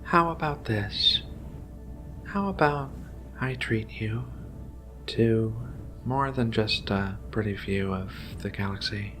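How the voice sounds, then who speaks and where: A man voicing Ragna's kind of figure, a gentle, caring giant female feline alien researcher, in a soothing, hesitant ASMR roleplay delivery. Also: lowish voice, takes it slowly, over music. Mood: gentle, coaxing.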